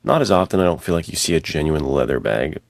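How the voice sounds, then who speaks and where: A man with a very deep voice full of vocal fry talks casually on a podcast. His delivery is slangy and enthusiastic, like chatting with a friend, and the sound is dry and close. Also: animated, fast talker, no room sound. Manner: slangy, enthusiastic, illustrates points with mimicked sounds and quotes. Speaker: podcast co-host and adventure fiction writer. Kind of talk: casual conversational podcast chat.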